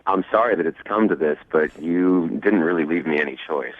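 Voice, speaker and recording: A young adult man talking casually as a radio caller, cocky, smooth-talking and insistent. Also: deep voice, brisk, slight background noise. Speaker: phone-in dating contestant. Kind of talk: casual phone conversation. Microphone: telephone. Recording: thin phone line.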